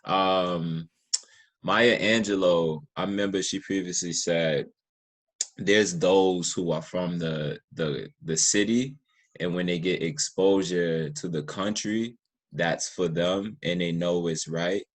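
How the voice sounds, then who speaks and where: A man's voice: low voice, slow pace. An American man hosting a conversational interview in an encouraging, affirming way. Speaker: podcast host, interviewer.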